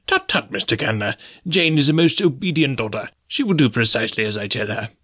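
A man's voice: mid-range voice, expressive, fairly quick, fairly bright tone, dry recording, clean studio sound. A man voicing a fussy, courteous, anxious old professor in an audiobook story. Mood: flustered. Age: older.

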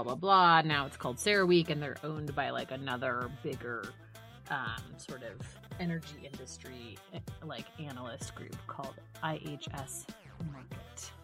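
A woman co-hosting a podcast in casual chat, with an animated, irreverent and sarcastic way of talking that includes free swearing. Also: low voice. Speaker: podcast co-host.

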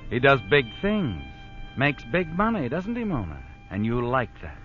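A knowing, ominous storyteller of vintage radio suspense. His pitch sweeps dramatically as he needles and insinuates. Loud dramatic music plays behind him on a dull old recording. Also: huge pitch swings. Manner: dramatic, needling, deliberate phrasing. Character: knowing, ominous noir narrator addressing the culprit. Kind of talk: 1940s-50s radio suspense narration.

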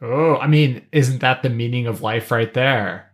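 Guy on a podcast, medium pitch, thoughtful, explaining his take casually. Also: a little slow. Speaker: podcast co-host. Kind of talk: casual analytical discussion.

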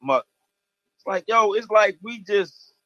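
A man's voice: sits high, animated, slow, fairly bright tone. A man in casual chat, telling a story in a loose, conversational way. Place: studio in Baltimore. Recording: dull lo-fi sound, dry.

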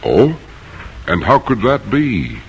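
An actor narrating a 1950s radio history play in a theatrical, deliberate, eloquent way. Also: male voice, fairly low pitch, huge pitch swings, creaky, lo-fi sound, slight background noise, heavily acted. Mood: dramatic, solemn. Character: solemn, poetic male narrator of a history play.

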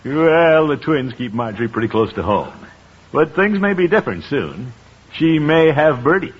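An actor playing a pompous, good-hearted middle-aged American uncle in an old-time radio comedy. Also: male voice, fairly high pitch, big pitch swings, moderate pace, slightly rough. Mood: self-assured. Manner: pompous, musing, theatrical. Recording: muffled old radio recording, background hiss.

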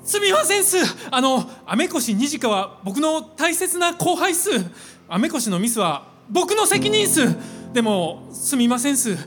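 A woman's voice: sits high, sing-song, unhurried, faint music behind. A woman acting a loyal, humble young male office worker in a live radio drama, imploring in slangy, clipped politeness. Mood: apologetic.

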